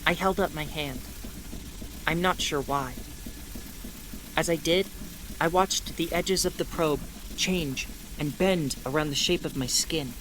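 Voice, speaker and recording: A woman narrates as a starship captain in a low, measured voice. She tells the story in a slow, inward, reflective way. A steady hiss sits under the recording. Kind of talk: audio drama narration. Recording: noisy audio drama recording.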